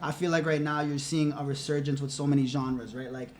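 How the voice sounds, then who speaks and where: Man making thoughtful points, slightly high. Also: steady tone, a little fast, a little hiss or hum. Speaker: podcast guest. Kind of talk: casual chat.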